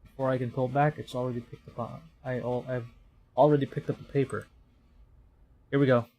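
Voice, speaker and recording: A man's voice: mid-range voice, flat delivery, fairly quick, vocal fry, dull audio. A man from the Philippines, a streamer reading a horror visual novel aloud in a dramatic storytelling manner, with commentary.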